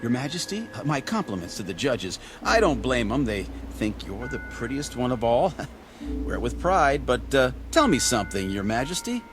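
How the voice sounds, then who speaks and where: A man's voice: medium pitch, very animated, moderate pace, music in the background, close to an everyday voice. An actor playing a brash, jittery space crewman in an audio drama, slangy and wisecracking. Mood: sardonic.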